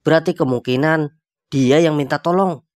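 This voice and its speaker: A man's voice: fairly high pitch, moderate pace, dry recording, studio quality, lightly acted. A man with a Jakarta accent playing a spooked young hotel guest in a cartoon horror comedy. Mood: uneasy, earnest. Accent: Jakarta Indonesian. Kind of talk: animated horror-comedy voice acting.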